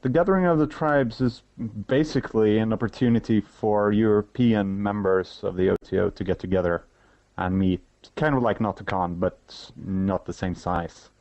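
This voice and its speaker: A man's voice: fairly low pitch, a little mellow, dry recording. A man with a Swedish accent, giving a hesitant, conversational phone interview as an event organizer. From Sweden. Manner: hesitant, halting. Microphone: telephone. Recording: muffled telephone line.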